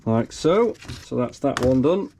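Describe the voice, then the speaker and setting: A man with a slightly croaky voice, casually talking himself through wiring a car in his garage. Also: medium pitch, very animated, measured pace, dark tone, a little hiss or hum. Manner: talks himself through each step, thinking aloud. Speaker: car hobbyist. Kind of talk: casual workshop commentary. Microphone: camera mic close to his hands.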